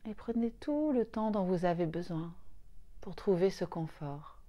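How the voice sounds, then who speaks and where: Very slow, mellow woman guiding meditation. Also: lowish voice, animated, highs cut off. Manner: soothing, unhurried, with long pauses between short phrases. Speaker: yoga nidra guide. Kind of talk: guided meditation.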